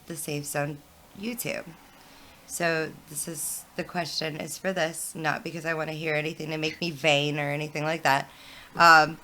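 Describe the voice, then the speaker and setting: A woman in her thirties hosts a loose livestream chat in a bright, lower-set voice. She talks in loose, rambling runs, swears freely and leans on "like" between laughs. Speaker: stream host.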